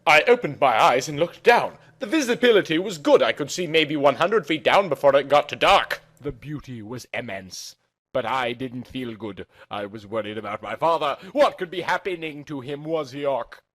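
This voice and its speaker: A man's voice: fairly high pitch, lively intonation, normal speed, fairly bright tone, no echo. Theatrical man reading a story, dry sound. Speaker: narrator. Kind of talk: dramatic amateur story reading in first person.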